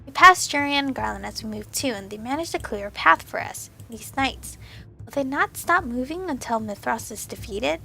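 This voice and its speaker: Hoarse young woman, game reader, high pitch, bright tone. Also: lively intonation, normal speed, faint music behind, rough recording. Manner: casual asides between earnest narration. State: hoarse, losing her voice. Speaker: let's play host. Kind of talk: reading a visual novel aloud.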